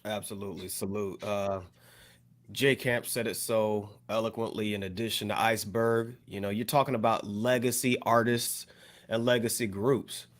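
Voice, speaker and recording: A man's voice: on the low side, steady tone, normal speed. A man co-hosting a casual hip hop podcast, tossing in hype interjections and speaking measuredly when he makes a point. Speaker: podcast co-host.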